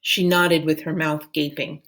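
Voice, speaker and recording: A woman reading a children's novel aloud as a storyteller, in a measured, deliberate way. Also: sits low, flat delivery, a bit bright.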